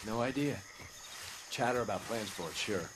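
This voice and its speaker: An actor playing a gruff, profane male spy handler in a jungle combat video game. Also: lowish voice, animated, normal speed, noisy background, close to an everyday voice. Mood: terse, guarded.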